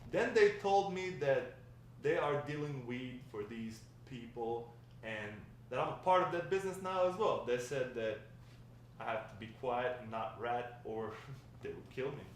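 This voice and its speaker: A man playing a grandfather who fondly recounts his wild, lovestruck youth in a halting table read. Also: mid-range voice, lively intonation, lightly acted. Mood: confiding. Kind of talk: table read of a dramatic script. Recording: echoey room, distant mic, faint noise.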